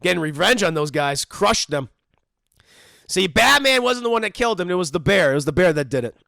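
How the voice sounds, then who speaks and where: Lively high-pitched man with gravelly edge. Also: fairly quick, no room sound, studio quality. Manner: joking, animated, mock-voices characters. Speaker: commentary host. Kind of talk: casual cartoon commentary.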